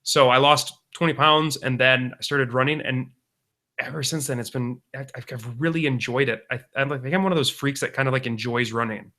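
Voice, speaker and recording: A man's voice: mid-range voice, brisk, sharp tone. A man in his thirties co-hosting a podcast, talking casually and rambling with many restarts. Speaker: podcast co-host. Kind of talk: casual chat.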